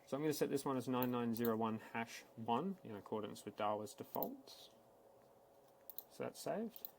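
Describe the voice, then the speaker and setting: A man giving a casual, matter-of-fact, step-by-step software walkthrough, speaking unscripted like a tech support instructor. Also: medium pitch, lively intonation, normal speed, gravelly, slight background noise. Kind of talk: screen-recorded software tutorial, unscripted walkthrough.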